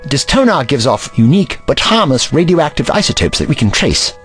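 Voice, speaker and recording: A man acts out a learned, eccentric time traveller in a sci-fi audio drama. He lectures in precise, old-fashioned words, protective and easily indignant, with pitch that swoops dramatically. Music plays along. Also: big pitch swings, fairly quick, fairly bright tone. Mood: inventive, brisk. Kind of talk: science fiction audio drama acting.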